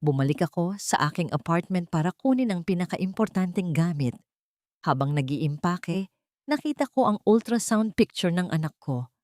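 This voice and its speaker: A woman's voice: on the low side, animated, moderate pace, darkish tone, no room sound, studio quality. A woman reading a scripted, dramatic first-person story as an audio drama narrator.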